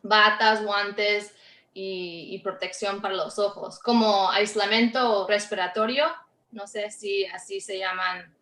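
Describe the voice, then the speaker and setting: A woman from Canada speaks slowly in non-native Spanish, searching for words as a panelist. Her voice is bright, and it comes through an echoey online call. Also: mid-range voice, steady tone. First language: not Spanish. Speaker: healthcare panelist. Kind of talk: unscripted panel answer.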